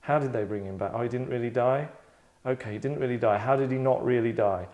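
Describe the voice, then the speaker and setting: A man's voice: mid-range voice, fast talker, a little mellow, muffled recording. Sardonic man hosting in a rambling way. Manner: sardonic, rambling, mock-dramatic. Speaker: host directing an actors' table read. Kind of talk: casual explaining to a group. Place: London.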